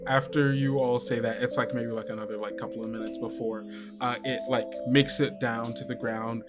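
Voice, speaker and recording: A man improvising descriptive narration as game master of a tabletop roleplaying game, in a halting way. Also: medium pitch, level tone, loud music behind the voice.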